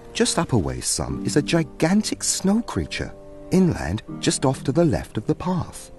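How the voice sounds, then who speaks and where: A man narrating a scripted story in a theatrical, deliberate storyteller's manner. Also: medium pitch, darkish tone.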